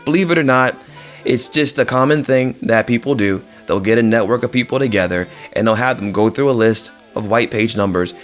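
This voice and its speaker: A man's voice: mid-range voice, fairly quick, soft background music. A man talking directly to viewers as a real estate agent, informal and conversational, repeating phrases for emphasis. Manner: conversational, addresses listeners directly.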